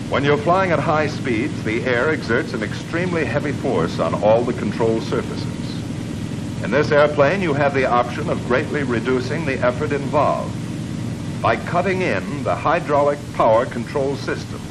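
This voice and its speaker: A man's voice: lively intonation, normal speed. An American man with an authoritative, instructive manner, reading scripted narration in the style of a 1950s military training film. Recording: noisy 1950s film soundtrack, engine rumble.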